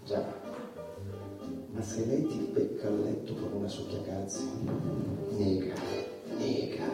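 An actor playing a provocative, irreverent male stand-up comedian in a theatrical monologue, addressing the audience with dramatic pauses and repeated phrases. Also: lowish voice. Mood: mock confiding. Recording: echoey room, distant mic, music behind.

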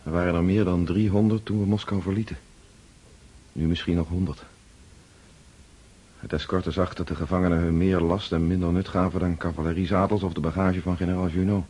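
An actor playing a weary, troubled freed prisoner of war in a radio drama, sombre and reflective. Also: male voice, sits low, little pitch movement, fairly quick, mellow, husky.

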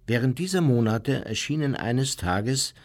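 Husky, matter-of-fact war criminal. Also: male voice, medium pitch, animated. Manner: measured, matter-of-fact, formal. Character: unrepentant Nazi war criminal recounting his past, adult man. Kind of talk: radio play first-person memoir narration.

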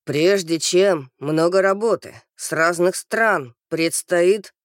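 Lady doing a stern bearded police captain guy for an audiobook, formal, barking orders, lowered voice, dry close sound. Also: fairly quick, no echo, close to an everyday voice. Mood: formal, pointed. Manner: crisp commanding diction, clipped orders.

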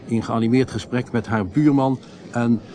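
Man acting radio event commentator, formal, descriptive, medium pitch, radio drama. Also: steady tone, normal speed, warm tone, close to an everyday voice. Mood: solemn, polished. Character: male radio reporter covering a royal ceremony, formal, later shaken.

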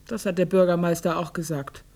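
A woman's voice: lowish voice, fairly even intonation, fairly quick, darkish tone. An actress playing a stubborn, distrustful old farm woman who answers curtly and defiantly in a radio drama. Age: older.

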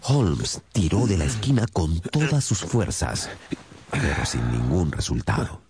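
A man's voice: deep voice, sing-song, a little slow, darkish tone, slight background noise, full character voice. A man acting as a detective's loyal companion, narrating the case in a composed, dramatic storytelling style for a radio drama. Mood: composed, suspenseful.

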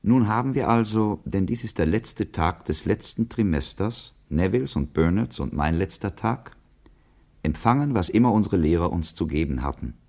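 Solemn schoolboy, very low voice. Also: male voice, expressive, normal speed, creaky, a little mellow, heavily acted. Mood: solemn, brooding. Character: brooding, earnest schoolboy leaving school, wild-hearted, resolute. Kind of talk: literary radio drama monologue.

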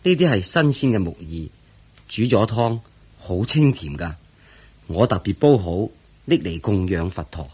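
An actor playing a humble, devoted goldsmith in a radio drama, speaking respectfully and eagerly. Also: male voice, on the low side, sing-song, unhurried, darkish tone, slightly rough, full character voice. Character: humble, devoted male goldsmith offering food to his master. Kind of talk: Cantonese radio drama acting.

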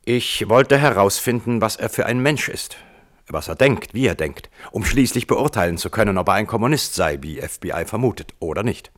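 An actor playing a frank lawyer and former security chief giving dry testimony in a radio play. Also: male voice, lowish voice, animated, moderate pace, a bit bright. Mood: candid. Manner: matter-of-fact, candid. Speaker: witness at a hearing. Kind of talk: radio play courtroom acting.

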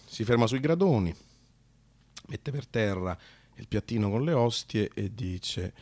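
A man with a Roman accent telling an autobiographical story as a theatrical monologue, colloquial and animated, with hesitations. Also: fairly low pitch, unhurried, dry recording. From Italy. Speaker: actor and storyteller.

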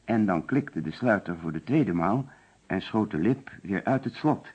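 A dull, lo-fi old radio play recording of an anxious murder suspect. His voice is very low and husky. He explains himself hesitantly and evasively, protesting when pressed. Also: lively intonation, unhurried, darkish tone. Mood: uneasy recounting. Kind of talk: old Dutch radio detective play acting.

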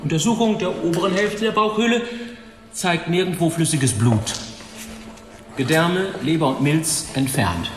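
An actor playing an old pathologist in a radio drama, dictating in a clinical, detached and deliberate manner. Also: male voice, on the high side, soft background music, natural voice. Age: older. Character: tall old male pathologist, clinical, cigar-smoking, matter-of-fact. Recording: echoey hall with long reverb.

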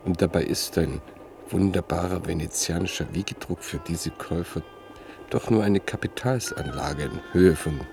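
A man's voice: low-pitched, moderate pace, gravelly, over music. An actor in a classic radio play playing a curious man on a train who keeps asking prompting questions. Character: curious male train passenger, inquisitive. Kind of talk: classic radio drama acting.

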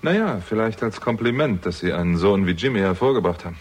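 Husky, low-voiced sardonic investigator. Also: male voice, expressive, quick pace. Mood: dry, sardonic. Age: young adult. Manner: dry, ironic, probing. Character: sardonic younger man, shrewd amateur investigator. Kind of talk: German radio crime drama acting.

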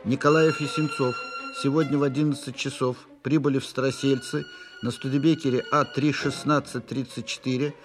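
An actor in a radio play voicing a military messenger who reads out an urgent dispatch in an impersonal, official manner. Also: male voice, mid-range voice, natural voice.

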